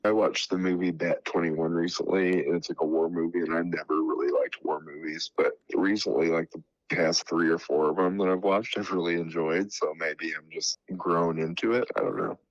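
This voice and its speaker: A man co-hosting a casual pop culture podcast, sounding stuffy from a cold, relaxed and laid-back. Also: low voice, normal speed, few pauses, no echo. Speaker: podcast co-host. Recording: slightly dull dry audio.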